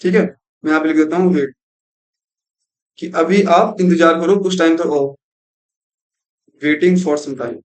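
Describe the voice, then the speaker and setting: A man's voice: a little high, fairly even intonation, a little slow, pauses often, rough recording. A young man giving a screen-recorded programming lesson, explaining step by step and mixing in English terms. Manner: explanatory, repeats "thik hai" and mixes in English terms. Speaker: programming tutor.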